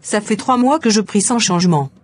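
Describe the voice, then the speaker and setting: A woman's voice: mid-range voice, animated, moderate pace, darkish tone, breathy edge, soft background music. A woman voicing a trusting, deferential parishioner in a cartoon. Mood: worried, respectful. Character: trusting, respectful female parishioner seeking guidance. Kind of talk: dramatic animated dialogue.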